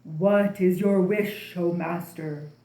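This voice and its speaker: A woman voicing an obedient male storybook genie, speaking slowly and formally in a booming way. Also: steady tone, mellow, roomy sound, poor audio. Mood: solemn, servile. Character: big obedient male genie servant of the lamp.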